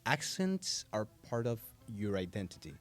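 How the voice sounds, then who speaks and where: Low-voiced man, measured and thoughtful. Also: sing-song, soft background music. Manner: thoughtful, measured answers with filler pauses. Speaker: voice actor and audiobook narrator. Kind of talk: conversational interview. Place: podcast studio. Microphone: studio mic.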